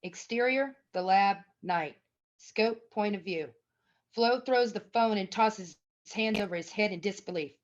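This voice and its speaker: Woman to narrate screenplay directions, medium pitch, on somewhat dull audio. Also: a little slow. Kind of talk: scripted narration.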